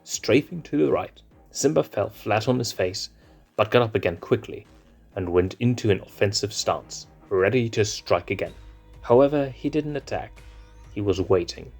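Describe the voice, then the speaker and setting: A man narrating a fan fiction chapter in a steady, expressive storybook reading. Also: lowish voice, moderate pace, gravelly, husky, soft background music.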